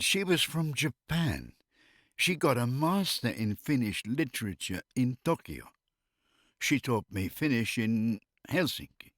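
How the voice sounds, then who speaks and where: A man's voice: mid-range voice, a bit bright, no room sound, studio quality. A middle-aged or older man chatting casually and humorously, telling anecdotes in a wry way and often amused by his own jokes. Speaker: expatriate living in Finland.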